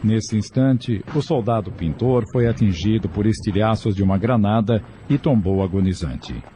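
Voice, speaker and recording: A man's voice: fairly low pitch, normal speed, warm tone, a little hiss or hum. A man with a Brazilian accent giving a scripted, dramatic story narration. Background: music.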